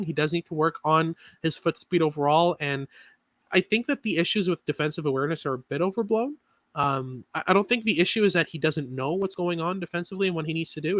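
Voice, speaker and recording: A man co-hosting a sports podcast, talking through analysis in a quick, filler-heavy way with many restarts. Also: a little high, a bit bright, dry recording, studio quality. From Canada. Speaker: podcast co-host.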